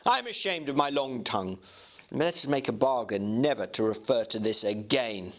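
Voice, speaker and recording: A man voicing an earnest young gentleman in a Victorian storybook, emphatic and halting as he searches for words. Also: medium pitch, expressive, lightly acted. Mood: sullen, defensive. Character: earnest young English gentleman, bewildered, slightly sullen, recounting strange sights. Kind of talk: dramatic reading of a Victorian novel.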